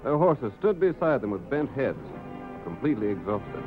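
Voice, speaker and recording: A man's voice: medium pitch, big pitch swings, normal speed. Theatrical male narrator of old radio serial. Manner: theatrical, suspenseful, formal delivery. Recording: muffled 1930s radio with music behind. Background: dramatic music.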